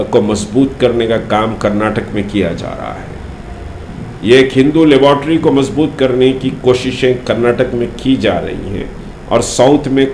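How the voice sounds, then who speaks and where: A man gives an unscripted political statement to the press, speaking deliberately and haltingly with frequent pauses. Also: medium pitch, a little mellow. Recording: roomy echo, faint background noise.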